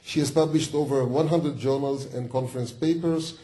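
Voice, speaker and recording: A man's voice: medium pitch, steady tone, dark tone, low-quality recording. A man introducing a keynote speaker at a university event. He stops and restarts often and corrects himself as he reads out her bio. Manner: halting, with many false starts and self-corrections. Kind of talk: hesitant spoken introduction, reading a bio. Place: lecture hall at a university event.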